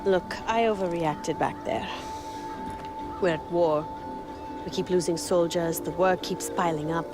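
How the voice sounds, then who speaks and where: A woman's voice: fairly low pitch, fairly even intonation, unhurried, natural voice. An actress playing a stern, blunt, touchy female rebel commander in a video game cutscene. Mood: brusque. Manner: curt, blunt. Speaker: rebel leader. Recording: game music behind the voice.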